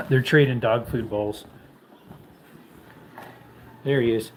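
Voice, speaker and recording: A man in his thirties chats loosely on a home livestream, wandering from one remark to the next as he reads out comments. His voice sits at an ordinary middle pitch. A faint hiss stays under the recording. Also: fairly even intonation, drawn-out words, a little mellow, rough recording. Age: 30s. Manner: rambling, chatty, reads out viewer comments; high baby-talk when calling his dog. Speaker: livestream host. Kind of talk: casual livestream chat.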